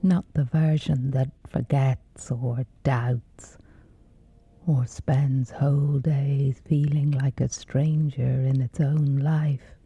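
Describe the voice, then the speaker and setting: A woman softly guiding a scripted sleep meditation in a breathy, gentle, soothing hush with long pauses. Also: very deep voice, fairly even intonation. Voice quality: breathy, whispery soft.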